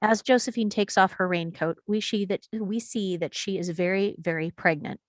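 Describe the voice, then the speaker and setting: A woman's voice: medium pitch, normal speed, no room sound. A woman reading stage directions as scripted narration at a screenplay table read.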